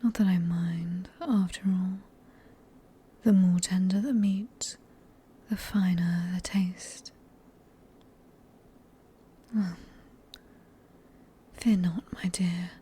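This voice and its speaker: A woman with a British accent playing a sadistic, possessive vampire in a whispered ASMR roleplay, speaking in a breathy, teasing, genteel and condescending manner. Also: lowish voice, fairly even intonation, very slow, pauses often, warm tone, heavily acted. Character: sadistic, possessive female vampire, silkily polite and manipulative.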